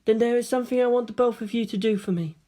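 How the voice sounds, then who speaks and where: A woman voicing a solemn, soft-spoken young girl who gives calm commands in formal, measured phrases in a story reading. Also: on the high side, voice pushed up, natural voice. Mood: solemn, resolute.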